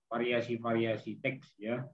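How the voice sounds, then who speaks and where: A man with an Indonesian accent teaching a language class, explaining step by step and often checking that listeners follow. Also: mid-range voice. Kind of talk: online class lecture with questions. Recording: thin, phone-like online call audio.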